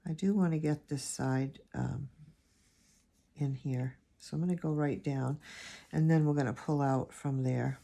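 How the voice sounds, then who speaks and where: A woman with a low, gravelly voice, hoarse and raspy from allergies, talks through a watercolor tutorial. Her tone is dark and mellow. She rambles and thinks aloud as she paints, into a phone camera. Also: fairly even intonation, unhurried. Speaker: watercolor teacher.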